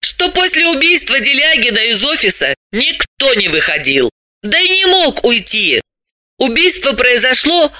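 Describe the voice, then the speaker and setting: A man voicing a brisk, self-assured woman sleuth with a mocking streak, explaining things emphatically in an audiobook. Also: very high-pitched, sharp tone, dry recording, pitched above the performer's own voice, full character voice. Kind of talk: audiobook character voice.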